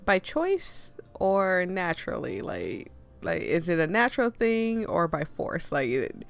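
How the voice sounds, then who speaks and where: A woman hosting a game playthrough with casual, expressive and playful commentary. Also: fairly low pitch, measured pace, creaky. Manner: playful, chatty, expressive reactions. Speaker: host playing a game for viewers.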